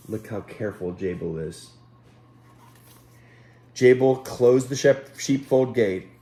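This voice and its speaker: A man reading a Bible story aloud to children in a gentle, unhurried way, pausing to address them directly as a Sunday school teacher. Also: lowish voice.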